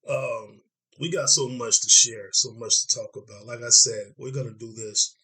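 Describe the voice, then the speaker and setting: A man from Dallas, Texas hosting a podcast, hoarse from congestion, talking reflectively with frequent pauses. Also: mid-range voice, steady tone, bright, forceful tone, poor audio. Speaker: podcast host. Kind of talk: casual conversation.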